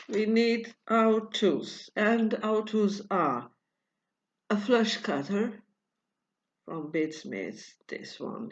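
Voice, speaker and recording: Portuguese woman, non-native speaker, very slow and halting tutorial host, muffled home recording. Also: medium pitch, slight background noise. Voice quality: many pauses and fillers, searching for words. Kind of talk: hesitant tutorial explanation in a second language.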